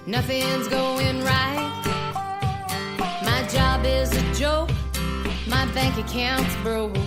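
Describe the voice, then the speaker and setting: High, breathy woman singing country. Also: steady tone, a bit bright, no echo. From Canada. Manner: slow, drawn-out sung lines. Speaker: country singer. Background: country band with guitar.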